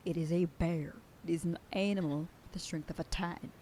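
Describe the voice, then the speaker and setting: A woman playing a protective werewolf sheriff girlfriend in an ASMR roleplay, scolding the listener and dropping into soft whispers. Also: fairly low pitch, fairly even intonation, a little fast, a little hiss or hum. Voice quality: soft whisper in quieter passages. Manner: scolding, then softening into tender whispers. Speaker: voice actor in an audio roleplay.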